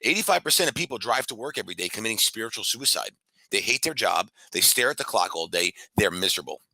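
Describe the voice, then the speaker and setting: A high-energy man speaking as a business founder being interviewed, in an emphatic, boastful motivational style. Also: animated, quick pace, creaky, bright, forceful tone, no room sound, low-quality recording. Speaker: fitness franchise founder and CEO, guest.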